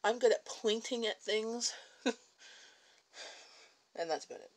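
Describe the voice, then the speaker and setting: A woman's voice: fairly high pitch, steady tone, a little slow. A Canadian woman with a Canadian English accent chatting casually at home in her kitchen on a livestream, reading viewer comments aloud, answering them and laughing between remarks. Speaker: livestream host.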